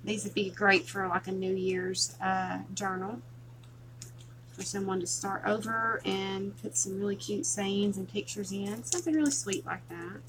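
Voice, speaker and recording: A woman hosting a casual crafting livestream, chatting softly with viewers while she works. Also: medium pitch, fairly even intonation, measured pace, noisy background. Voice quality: soft, relaxing voice.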